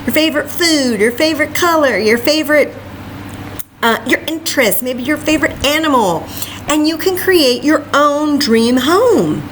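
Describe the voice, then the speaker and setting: A woman hosting a children's library story time, reading a picture book aloud with animated, exclamatory asides straight to her young listeners. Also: high voice, normal speed, slight background noise, low-quality recording.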